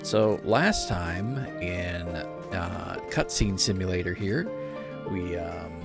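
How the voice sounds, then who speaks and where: A man with a lowish, creaky voice talks casually to his livestream viewers. He sounds informal and easygoing, and music plays clearly behind him. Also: animated, takes it slowly. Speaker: live game streamer.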